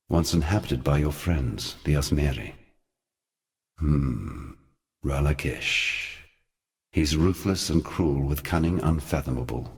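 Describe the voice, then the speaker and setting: Ancient god, extremely deep voice, brooding, solemn, eloquent, theatrical video game mentor. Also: male voice. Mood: wry, scornful. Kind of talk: theatrical scripted game dialogue.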